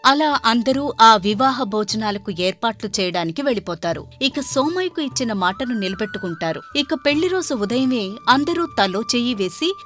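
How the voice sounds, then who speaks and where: A woman's voice: on the high side, expressive, normal speed, fairly bright tone, soft background music. A woman reading a scripted moral story as its narrator.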